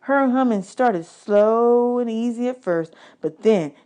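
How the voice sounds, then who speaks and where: A woman reading a children's novel aloud as an expressive storyteller, drawing out words for emphasis. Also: fairly high pitch, slow, clean tone. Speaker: teacher reading a book aloud. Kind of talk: expressive audiobook read-aloud of a children's novel in first person.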